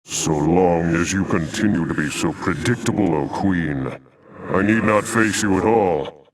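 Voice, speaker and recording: A man voicing a noble, calm alien warrior in a video game, speaking in a formal, archaic, measured way. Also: low-pitched. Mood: calm mockery. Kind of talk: dramatic video game voice acting.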